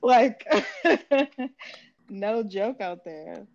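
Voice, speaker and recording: A woman's voice: mid-range voice, lively intonation, very slow, darkish tone. A woman from Oakland hosting a podcast, chatting casually and laughing often. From Oakland, California. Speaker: podcast host. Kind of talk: casual interview chat. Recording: muffled lo-fi audio with faint noise.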